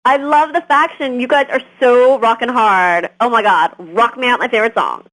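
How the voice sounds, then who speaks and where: A gushing teenage girl caller played by a woman spills out her fan chatter in a rush. Her voice sits fairly high. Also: moderate pace, a bit bright, natural voice, low-quality recording. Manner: gushing, breathless fan talk. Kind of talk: scripted game voice lines.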